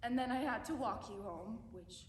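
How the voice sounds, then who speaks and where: A young woman performing a dramatic monologue as a remorseful mother writing to her estranged son, speaking haltingly with stumbling restarts. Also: on the high side, lightly acted. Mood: anxious. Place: competition room, indoors. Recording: echoey room, distant mic, faint background hum.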